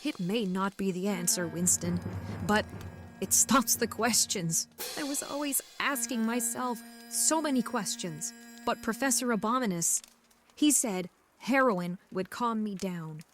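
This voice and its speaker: An actress as dreamy, deluded addict mother, medium pitch. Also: a little slow, music in the background, lightly acted. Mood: dreamily persuasive. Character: drug-addled mother, serenely deluded, pleading. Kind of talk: audio drama acting.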